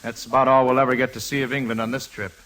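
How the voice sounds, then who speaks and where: An actor playing a lovesick young romantic lead, earnest and melodramatic, in a 1940s radio musical. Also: male voice, mid-range voice, flat delivery, racing, a bit bright, a little hiss or hum, close to an everyday voice. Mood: heartbroken. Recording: vintage radio broadcast with music.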